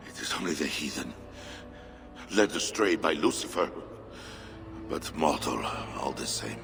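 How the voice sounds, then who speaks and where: A tormented, half-mad medieval king in a video game. His fearful voice is fairly low and creaky, with agitated swings of pitch. It echoes in a hall with faint music. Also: lively intonation, slow pace, long pauses, a bit bright, full character voice. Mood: anguished, fearful. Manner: agitated, wildly swinging delivery. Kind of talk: dramatic video game acting. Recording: reverberant hall with music behind.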